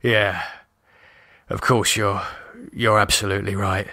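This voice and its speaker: A man voicing a shaken, exhausted man in an audiobook horror drama, halting and hesitant, with sarcasm and fear. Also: on the low side, level tone, sharp tone, no echo, clean studio sound, heavily acted. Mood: weary, evasive.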